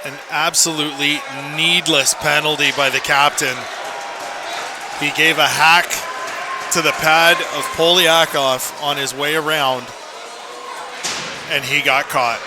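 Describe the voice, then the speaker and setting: A man's voice: a little high, fairly even intonation, slow pace, pauses often, a crowd in the background. A man giving opinionated, emphatic color commentary on a live hockey radio broadcast. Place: indoor ice rink. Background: loud rink ambience and noise.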